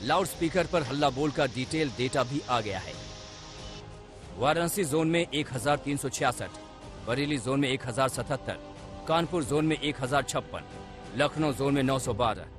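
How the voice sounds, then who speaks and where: A man narrates a scripted television news report with dramatic emphasis. His pitch is average, his delivery punchy, and loud music runs behind him. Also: moderate pace.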